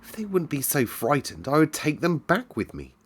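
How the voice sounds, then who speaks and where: A man's voice: on the high side, sing-song, moderate pace, slight background noise, pitched above the performer's own voice. A man voicing a stubborn young storybook girl, speaking earnestly and a little hesitantly. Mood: wistful.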